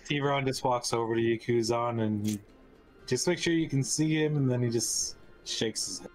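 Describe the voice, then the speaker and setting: A man's voice: mid-range voice, moderate pace, faint music behind, low-quality recording. A man chatting casually as a player in a tabletop roleplaying game. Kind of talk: casual chat.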